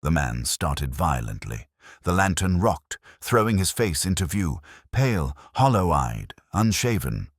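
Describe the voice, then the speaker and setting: A man's voice: deep voice, very animated, unhurried, slightly rough, no room sound, clean studio sound. A man giving scripted, dramatic narration of a Victorian mystery audiobook in a literary style.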